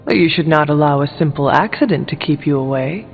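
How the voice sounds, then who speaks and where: A man voicing a solemn, determined warrior hunter from the past in a video game, with a serious, formal and deliberate delivery. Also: a little high, creaky, lo-fi sound, faint music behind. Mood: curious. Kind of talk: video game character dialogue.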